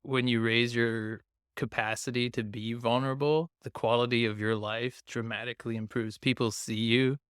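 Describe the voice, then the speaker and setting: A man from Taiwan, a guest on a podcast, talking reflectively and casually, often pausing to search for words. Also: mid-range voice, little pitch movement, fairly bright tone, smooth, dry recording, studio quality. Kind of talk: casual conversation.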